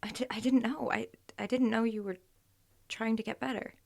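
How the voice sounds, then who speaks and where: An exasperated, hurt young woman in an audio drama. Her voice sits fairly high and crackles with marked vocal fry. She talks haltingly and emotionally, often sinking to a murmur. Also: fairly even intonation, darkish tone. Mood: tender, apologetic. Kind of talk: naturalistic audio drama acting.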